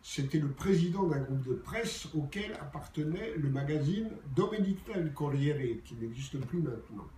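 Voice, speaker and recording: A man's voice: fairly high pitch, lively intonation, moderate pace, hardly any pauses, dark tone. An older man, a journalist, telling anecdotes from his career in a theatrical storytelling manner. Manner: theatrical storyteller, acts out dialogue. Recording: roomy, distant mic, faint background noise.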